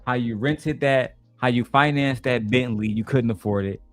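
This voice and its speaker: A man's voice: medium pitch, normal speed. A man streaming, reacting to video clips with blunt, profane, mocking commentary.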